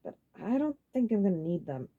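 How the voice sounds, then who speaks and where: A woman's voice: medium pitch, big pitch swings, a little fast, phone-quality sound, pitched above the performer's own voice. A woman playing a smug, boastful young man in an audiobook story, breezy and a bit dim. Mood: hesitant.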